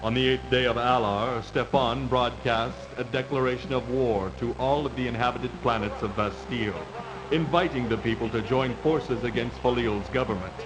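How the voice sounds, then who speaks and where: A man narrating a video game story in a measured, formal, scripted storytelling style. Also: medium pitch, steady tone, low-quality recording. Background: music.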